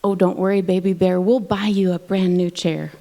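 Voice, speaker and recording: A woman's voice: fairly low pitch, flat delivery, normal speed, mellow, close to an everyday voice. A woman voicing an indulgent, fussing mother bear in a motherly manner, in a told fairy tale. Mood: soothing.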